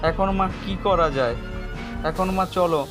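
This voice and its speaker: Horror cartoon son male performer: high voice, stubborn and pleading with his mother, music underneath. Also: takes it slowly. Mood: worried. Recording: music behind the voice.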